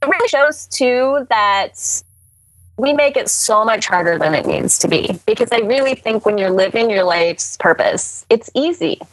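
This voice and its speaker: A woman's voice: mid-range voice, lively intonation, unhurried, slight background noise, rough recording. A woman in her forties, a podcast guest, talking in a chatty, laughing, casual way. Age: 40s. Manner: warm, chatty, laughs often. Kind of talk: casual interview chat.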